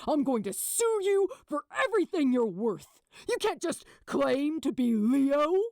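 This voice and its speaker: Storybook villain played by a woman: bullying male executive, sneering and snarling, swooping emphatic pitch. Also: very animated, a little slow, a little mellow, no echo, studio quality, heavily acted. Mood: furious threatening.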